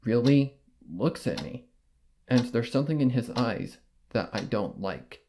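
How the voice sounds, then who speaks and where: A man narrating a first-person horror fanfiction in a measured, brooding way. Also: medium pitch, lively intonation, a little mellow, slight background noise.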